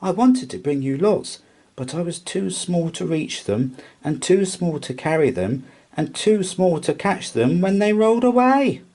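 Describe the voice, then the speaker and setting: Man doing a high, squeaky little girl mouse. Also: expressive, unhurried, mellow. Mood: earnest, apologetic. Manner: earnest, huffy, emphatic. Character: small plucky, indignant young female mouse. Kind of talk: children's storybook character voice.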